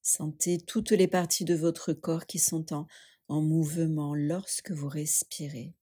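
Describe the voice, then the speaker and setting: A woman leading a guided yoga nidra meditation, speaking gently and soothingly with long pauses. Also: deep voice, little pitch movement, breathy edge.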